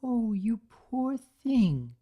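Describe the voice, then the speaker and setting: A woman voicing a gentle, protective young female cartoon pony in a story reading, soft, breathy and hesitant. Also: on the high side, big pitch swings, extremely slow, dull audio, voice pushed up, heavily acted. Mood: tender.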